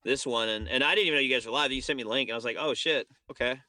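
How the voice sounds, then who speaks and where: A man's voice: mid-range voice, very fast, sharp tone, dry recording. A man calling into a group livestream, talking in crude, teasing banter with a lot of swearing.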